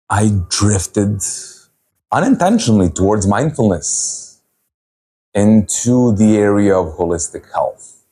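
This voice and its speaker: A man in his thirties from Serbia, telling his personal story as a holistic health coach at a virtual event in an earnest, unhurried way. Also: lowish voice, darkish tone, husky. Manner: earnest, unhurried, with frequent pauses and fillers.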